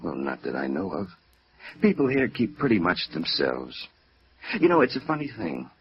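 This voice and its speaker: A man's voice: low voice, wildly swooping pitch, moderate pace, vocal fry, full character voice. A man acting a gossipy yet guarded American apartment manager in a 1950s radio drama, wisecracking in everyday slang. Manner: colloquial, wisecracking. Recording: muffled vintage radio recording.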